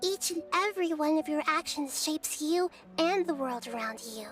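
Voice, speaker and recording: A small girl deity from a video game, with a high, childlike voice. She speaks gently and thoughtfully, in measured, articulate phrases full of earnest wisdom. Music plays clearly behind her. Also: lively intonation. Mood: solemn, encouraging.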